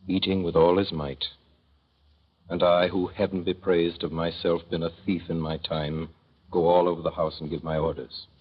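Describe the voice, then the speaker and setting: A man narrating as a gentle, thoughtful father in a 1930s radio drama, with measured, tender storytelling. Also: extremely low pitch, breathy edge, heavily acted. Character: gentle, thoughtful father telling of his son. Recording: dull old 1930s radio recording.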